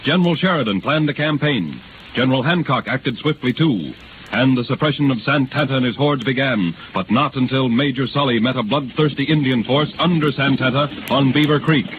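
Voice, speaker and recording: A man's voice: mid-range voice, brisk, background noise. Solemn 1930s radio narrator, tinny male voice. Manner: solemn, theatrical, formal delivery.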